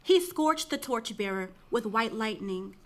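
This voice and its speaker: A woman's voice: on the high side, lively intonation, measured pace, a bit bright. A woman playing an urgent chorus member, vividly declaiming battle verse in a Greek tragedy. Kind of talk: dramatic reading of Greek tragedy.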